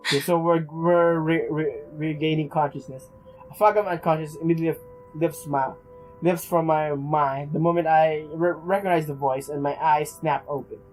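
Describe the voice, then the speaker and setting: A man reading game narration aloud in a halting way, as a let's play host. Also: a little high, fairly even intonation, darkish tone, rough recording. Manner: halting, stumbles and repeats words while reading. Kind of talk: reading visual novel narration aloud with casual commentary. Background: music.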